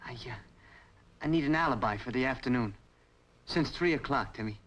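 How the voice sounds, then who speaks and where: An actor playing a shifty, smooth-talking crook in an old crime drama, coaxing, hesitant and pleading under pressure. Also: male voice, mid-range voice, a little fast, a bit bright, lightly acted. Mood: uneasy.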